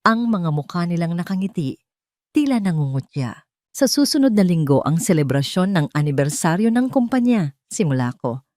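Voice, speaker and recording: A woman's voice: on the low side, big pitch swings, moderate pace, darkish tone, dry recording, clean studio sound. A woman reading a dramatic first-person story as an audio narrator.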